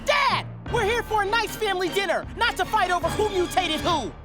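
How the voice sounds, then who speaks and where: A man voicing a sunny, excitable teenage turtle in a cartoon, upbeat and coaxing, with theatrical flourishes. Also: very high-pitched, a little fast, music in the background. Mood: exasperated. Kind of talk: animated cartoon voice acting.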